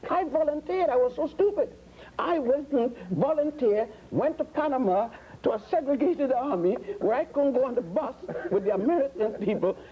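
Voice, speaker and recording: A man's voice: very high voice, animated, normal speed, mellow, slight background noise, poor audio. A man in his sixties from Puerto Rico and the Virgin Islands, a guest historian answering interview questions in a lecturing way, piling up facts with many fillers and restarts.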